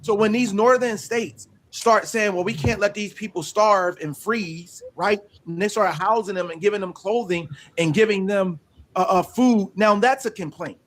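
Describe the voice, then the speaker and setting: A man's voice: sits high, slight background noise. A man from Pennsylvania debating on a live panel, arguing point by point and insisting on finishing his thought. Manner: measured, asks to finish, argues point by point. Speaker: panel guest.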